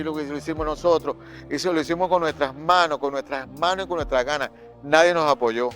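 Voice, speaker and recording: A man's voice: fairly high pitch, fairly bright tone, smooth. Impassioned Venezuelan man in his sixties. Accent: Venezuelan, from Maracaibo. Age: 60s. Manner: impassioned, emphatic, with repetitions for effect. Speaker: actor and theatre director, interview guest. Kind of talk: personal interview testimony.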